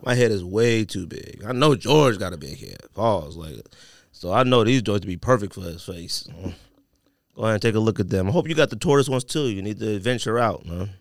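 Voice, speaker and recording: A man hosting a podcast, bantering casually with plenty of slang and emphatic drawn-out words. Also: fairly low pitch, animated, no room sound, studio quality. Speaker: podcast host. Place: podcast studio.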